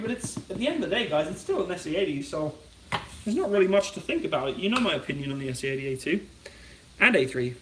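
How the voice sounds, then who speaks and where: Casting note: young British man, light voice pitched fairly high, chatty stream host, recorded off-mic in an echoey kitchen. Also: far from the mic, background noise. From United Kingdom. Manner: chatty, reads out viewer comments and answers them. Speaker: channel host. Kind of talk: casual live-stream cooking chat. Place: home kitchen.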